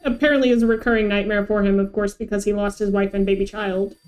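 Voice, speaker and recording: A woman's voice: medium pitch, level tone, quick pace, a little mellow. A woman chatting casually as she streams a video game, speaking into a close mic. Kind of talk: casual livestream chat.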